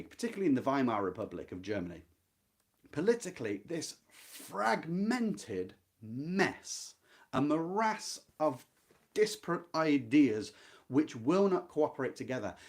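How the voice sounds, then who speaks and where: A man with a mid-pitched voice gives a literary talk. His intonation moves widely up and down as he stresses key phrases. He addresses his viewers deliberately, like a host guiding them through a book. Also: a little mellow. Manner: emphatic, deliberate, repeats key points. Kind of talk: literary lecture, explaining a novel to viewers.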